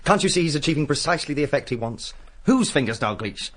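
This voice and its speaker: An actor playing a sharp, impatient man who challenges others in a radio detective drama. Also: fairly high pitch, expressive, fast, slight background noise. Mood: impatient, challenging.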